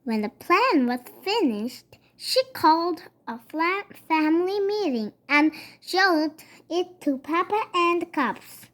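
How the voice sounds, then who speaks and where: A child reading a children's picture book aloud in a halting way, stumbling over words and going back to repeat them. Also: extremely high pitch, lively intonation, fairly bright tone.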